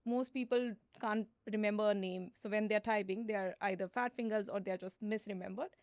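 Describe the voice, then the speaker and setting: A woman's voice: medium pitch, steady tone, a little fast, a little mellow, no room sound, clean studio sound. A woman founder as a podcast guest, explaining steadily with frequent fillers. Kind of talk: conversational interview.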